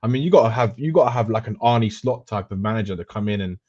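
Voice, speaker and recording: Fast-talking husky man, dull lo-fi mic. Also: fairly low pitch, lively intonation, a little mellow, dry recording. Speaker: guest co-commentator on fan stream. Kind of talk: casual football chat. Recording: dull, dry lo-fi microphone.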